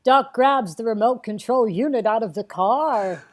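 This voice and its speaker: A high-pitched elderly lady narrates a comedic table read. She takes her time, halting between phrases, sweet on the surface and slyly naughty underneath. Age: older. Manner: halting, deliberate storytelling with sly asides. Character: impression of elderly comic actress narrating, slow, sweetly naughty.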